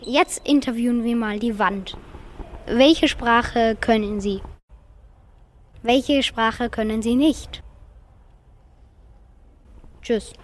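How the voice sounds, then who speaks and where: Little girl asking playful interview questions, high voice, slow delivery. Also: slight background noise. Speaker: child reporter.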